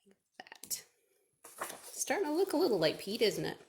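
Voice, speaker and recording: Creaky-voiced woman teaching a craft. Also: fairly high pitch, big pitch swings, moderate pace, slight background noise. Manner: chatty, asks and answers own questions. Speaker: children's librarian leading a craft. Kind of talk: step-by-step craft demonstration for children.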